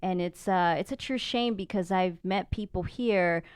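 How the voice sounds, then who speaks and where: A woman co-hosting a talk radio interview, giving her views in a measured, earnest way. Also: on the low side, a little mellow, smooth, no room sound, clean studio sound. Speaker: radio co-host.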